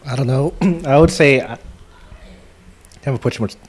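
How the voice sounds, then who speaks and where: A man chatting casually on a podcast in a measured, understated way. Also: medium pitch. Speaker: podcast participant. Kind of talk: casual chat.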